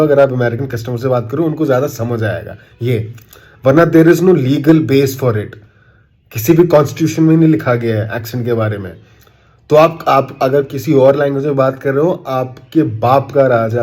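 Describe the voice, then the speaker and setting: A man's voice: mid-range voice, a little mellow. Indignant Indian man ranting. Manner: indignant, sarcastic, switches Hindi and English. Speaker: commentator. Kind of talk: opinion rant to camera.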